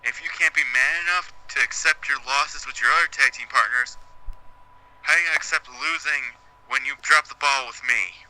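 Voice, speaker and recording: A man's voice: mid-range voice, bright, forceful tone, low-quality recording. A man playing a defiant, dramatic wrestler. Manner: dramatic, drawn-out emphasis. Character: proud male wrestler answering a challenge. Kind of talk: in-game wrestling promo.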